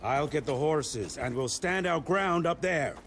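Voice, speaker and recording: A man's voice: fairly high pitch, lively intonation, a little slow, a bit bright, slight background noise. A man playing an earnest, practical frontier agent in a video game cutscene. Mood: resolute.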